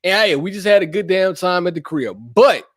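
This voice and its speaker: A young man hosts a casual podcast in playful, slang-heavy banter and keeps the energy high. His voice sits high for a man. The sound is close and dry. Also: fairly quick, dry recording. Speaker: podcast host. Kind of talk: casual chat with spouse.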